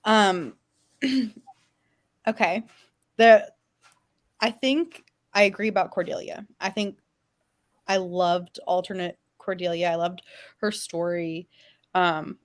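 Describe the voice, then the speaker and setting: Slow-talking woman on a fan panel. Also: on the low side. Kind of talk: casual fan panel chat.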